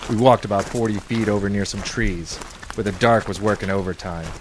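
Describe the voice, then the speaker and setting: An actor playing a world-weary, cynical private detective in old-time radio noir style, deadpan and wisecracking. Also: male voice, on the low side, brisk, a little hiss or hum, close to an everyday voice, rough recording. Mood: wry, weary narration. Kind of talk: 1940s noir radio detective acting with first-person narration.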